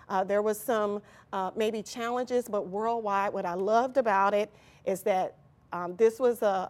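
A woman answering as an interview guest in a conversational way, often searching for her words. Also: medium pitch. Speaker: interview guest from an employment nonprofit.

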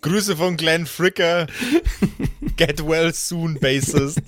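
A man's voice: fairly high pitch, fairly even intonation, slow pace, fairly bright tone, dry recording, studio quality. A man bantering casually and teasingly as a player in a tabletop game.

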